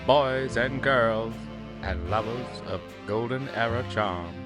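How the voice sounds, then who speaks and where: Man, channel host, showman welcome, very slow, loud music behind. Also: medium pitch.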